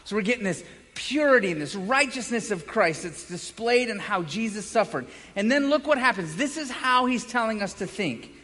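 A man preaching a sermon as a pastor, speaking emphatically with dramatic pauses and swings between loud and hushed delivery. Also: high-pitched, lively intonation. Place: large indoor room, preaching to a congregation. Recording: echoey hall with long reverb.

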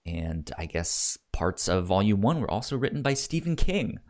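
Low-voiced man with a repetitive stammer. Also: very animated, normal speed. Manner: stammering, repeats words and syllables. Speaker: podcast co-host. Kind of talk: casual film review chat.

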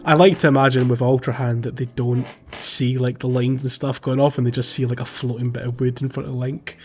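A man co-hosting a podcast, chatting casually about a video game in a hesitant, halting way. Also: mid-range voice, flat delivery, darkish tone, soft background music. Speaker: podcast co-host.

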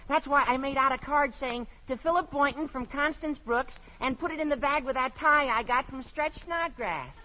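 A cheerfully meddling teenage boy in an old radio comedy. His voice is high, squeaky and bright, eager to help. The recording is dull with a faint hiss. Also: normal speed, hardly any pauses. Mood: eager, helpful. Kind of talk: vintage radio sitcom acting.

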